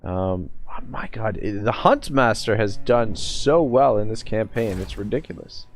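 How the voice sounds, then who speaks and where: A man's voice: on the low side, animated, measured pace. A man from Nova Scotia, casually commenting on a live game stream, swearing often and thinking aloud with lots of fillers. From Nova Scotia, Canada.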